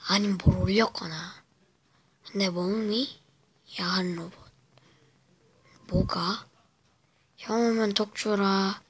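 Slow whispering woman, breathy. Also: medium pitch, fairly even intonation. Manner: slow, hesitant, with many fillers. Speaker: vlogger. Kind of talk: whispered casual storytelling to camera. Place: home, indoors.

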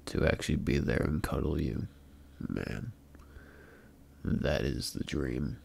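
A man playing a tired, affectionate long-distance boyfriend at bedtime in an intimate ASMR roleplay, murmuring sleepily and haltingly. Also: rumbling low, vocal fry, a little mellow, husky, heavily acted. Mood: affectionate, drowsy. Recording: faint background noise.

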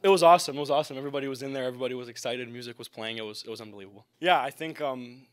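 A man's voice: medium pitch, rapid-fire. A young man, a hockey player giving a post-game interview. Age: young adult. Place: indoor media backdrop at a rink. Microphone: microphone on a stand in front.

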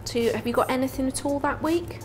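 A polite but persistent salon customer in a training video, played by a woman. She speaks matter-of-factly in a slightly high voice. Light room noise sits behind her. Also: fast talker, natural voice. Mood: persistent, put out. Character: adult female salon client, polite, persistent, matter-of-fact. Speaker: salon client in training role-play. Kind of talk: scripted training role-play. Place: small office, indoors. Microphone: clip-on lapel microphone.

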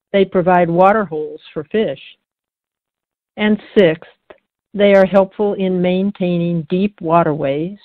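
A woman reads expository text aloud at a very slow pace. Her voice sits a little low, and the audio is narrow like a telephone. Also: no room sound. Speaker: conservation program director reading aloud. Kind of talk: scripted reading, enumerating points. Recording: tinny phone-like audio.

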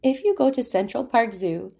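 A woman reading a children's picture book aloud as a storyteller, with expressive, deliberate delivery and drawn-out words. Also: on the high side, creaky. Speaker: children's storyteller at a family centre.

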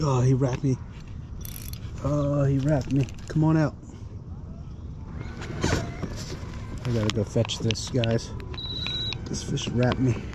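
A man hosting a fishing vlog from a kayak on a river, talking in a relaxed, chatty way with frequent filler pauses. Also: medium pitch. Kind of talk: casual fishing commentary. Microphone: action camera mounted on the kayak. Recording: outdoor water and wind noise.

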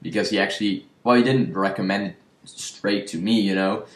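Young male video review host, casual and chatty, fairly deep, roomy home recording. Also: normal speed. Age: young adult. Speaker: horror movie review show host. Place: small room with shelves, indoors. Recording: roomy indoor echo.

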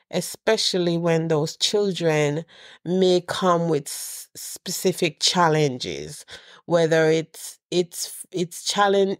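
A woman's voice: lowish voice, dry recording, clean studio sound. A middle-aged woman hosting her podcast alone, sounding a bit nasal from a cold, speaking earnestly and encouragingly to her listeners. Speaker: podcast host. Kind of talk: heartfelt solo address to listeners.

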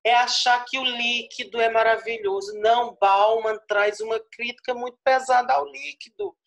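A man's voice: very high voice, steady tone, vocal fry, a bit bright. Guy with a paraíba accent giving a lecture on a video call, earphone mic, drags out his words, keeps asking "certo?". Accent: Northeastern Brazilian, Paraíba. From Paraíba, northeastern Brazil. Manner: expansive, tags points with "certo?", stretches key words slowly. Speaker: guest lecturer. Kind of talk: online lecture. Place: small room at home. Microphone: earphone headset on video call.

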